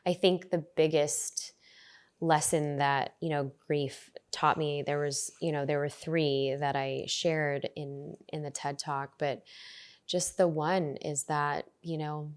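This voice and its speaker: Low, reflective woman guest in a podcast interview, earnest, restarts often. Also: fairly even intonation, slow. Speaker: podcast guest, resilience coach. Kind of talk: conversational interview.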